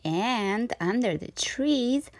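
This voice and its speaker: A woman reading a children's story aloud as a storyteller, with dramatic, deliberate phrasing. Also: fairly high pitch, animated, dry recording. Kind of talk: expressive children's read-aloud.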